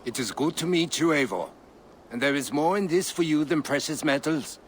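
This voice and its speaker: A man's voice: fairly high pitch, moderate pace, a little hiss or hum, close to an everyday voice. A man playing a genial, eloquent seafaring Viking raider in a video game, hearty and persuasive in manner. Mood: courteous.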